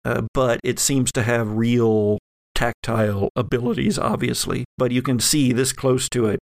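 A man acting as game master, narrating a horror tabletop game in a halting, descriptive way. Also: mid-range voice, fairly even intonation, creaky.

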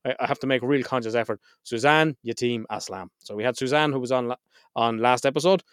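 A man's voice: mid-range voice, fast, smooth, no echo, clean studio sound. Congested man hosting a podcast. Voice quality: slightly nasal. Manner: frank, swears freely. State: head cold, congested. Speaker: podcast host. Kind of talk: casual solo podcast intro.

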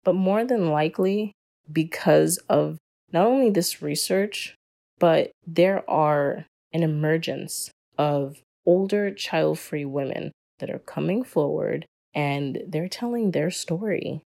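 A woman's voice: deep voice, slow pace, gravelly, dark tone. A young woman hosting a solo podcast episode, talking in an earnest, opinionated way as she explains her views. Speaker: podcast guest host.

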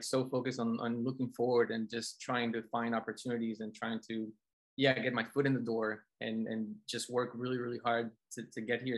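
A man's voice: mid-range voice, rough recording. A man from Colombia, a Spanish speaker, telling his story casually as an interview guest. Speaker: interview guest, film composer.

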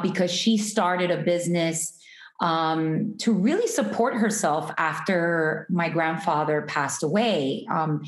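Slow-talking woman in an echoey room. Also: lowish voice. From Miami, USA. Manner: chatty, laughs often, many ums and restarts. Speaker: guest, artist and entrepreneur. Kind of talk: casual interview conversation. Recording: roomy echoey sound.

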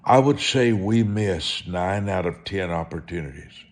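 A man preaching through personal storytelling, speaking in short, deliberate, emphatic phrases and repeating them for effect. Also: low-pitched, lively intonation. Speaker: preacher and author telling testimony. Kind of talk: storytelling sermon monologue.

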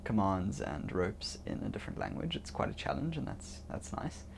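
A man's voice: low voice, wildly swooping pitch, normal speed, vocal fry. Easygoing young man chatting over faint noise. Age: young adult. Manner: chuckles often while talking. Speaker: interview guest, international student on a sailing ship. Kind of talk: casual conversational interview. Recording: faint background noise and ambience.

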